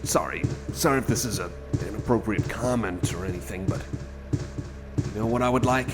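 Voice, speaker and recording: A man doing a rambling, improvised ASMR roleplay as a nervous, friendly companion, halting and stammering as he repeats his phrases. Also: lowish voice. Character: nervous, friendly male cyborg companion lost in endless corridors. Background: music.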